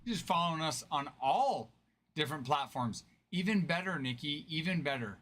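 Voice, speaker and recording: A man's voice: on the high side, animated, normal speed, fairly bright tone. A man hosting a casual card-break livestream, joking around and laughing often.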